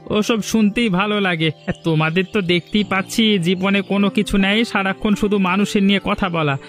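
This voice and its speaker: A man acts out a stern cartoon shopkeeper from a village. His voice is high for a man, and he lectures reproachfully as he scolds. There is faint music behind him. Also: steady tone, brisk, a bit bright. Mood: scolding. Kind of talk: cartoon dialogue.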